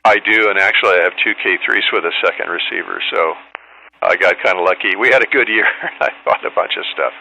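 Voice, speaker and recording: Forceful, bright-voiced man chatting on ham radio. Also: sits low, brisk, vocal fry, slight background noise, rough recording. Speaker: host, amateur radio operator in contact. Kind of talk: casual ham radio conversation.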